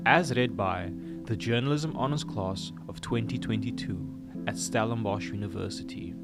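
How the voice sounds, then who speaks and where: A man with a fairly low voice reads a scripted announcement. Prominent plucked guitar music plays behind him as he speaks in the role of announcer. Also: moderate pace. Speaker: announcer of intro and outro.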